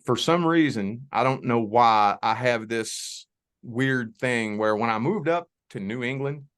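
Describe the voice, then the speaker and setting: A man's voice: medium pitch, a bit bright, smooth. Folksy man with a Southern drawl. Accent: Southern US (Kentucky border). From Southern Indiana near Louisville, Kentucky. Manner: folksy, reassuring, drawn-out emphasis. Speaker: guest, tarot reader. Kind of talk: spiritual conversation and tarot reading.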